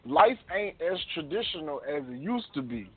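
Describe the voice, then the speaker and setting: A man's voice: fairly high pitch, very animated, moderate pace, a crowd in the background. A man in casual radio banter, speaking in a joking, slangy, questioning way. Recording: tinny phone connection, mouth close to mic.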